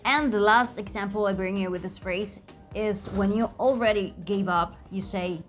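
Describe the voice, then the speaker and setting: A woman's voice: mid-range voice, faint music behind. Cuban-accented woman teaching slang. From Cuba. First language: Spanish. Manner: clear, careful, repeats phrases slowly. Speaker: language teacher, channel host. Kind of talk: slang lesson to camera.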